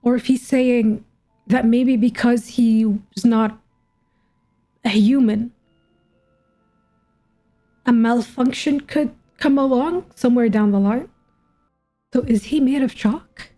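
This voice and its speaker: A woman streamer with a fairly high yet soft, mellow voice reacts to a game story. She thinks out loud casually, questioning what she sees and musing over it in informal, sometimes sweary language. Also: steady tone, slow pace, long pauses, faint music behind. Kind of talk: casual commentary, thinking aloud.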